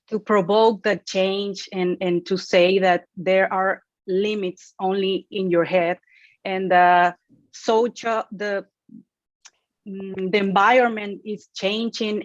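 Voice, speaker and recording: A woman's voice: medium pitch, no echo. A woman with a Mexican accent and Spanish as her first language, hesitantly searching for English words in an interview. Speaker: panel guest, event organizer. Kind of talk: conversational interview. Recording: dry, dull lo-fi audio.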